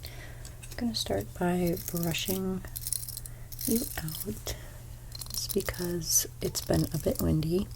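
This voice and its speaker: A young woman with a stuffy nose giving an ASMR haircut role play as a hairstylist. She speaks softly, often slips into whispers, and makes gentle salon small talk. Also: fairly low pitch, takes it slowly, vocal fry, pauses often, background noise. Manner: soft-spoken, drifting into whispers.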